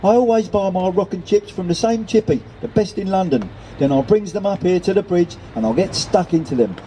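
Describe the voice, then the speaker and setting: Man putting on an earthy old London mum, pitched up. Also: a little fast, dark tone, slight background noise, close to an everyday voice, rough recording. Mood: defiant. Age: older. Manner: earthy, chatty, colloquial. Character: defiant, nostalgic older London mother.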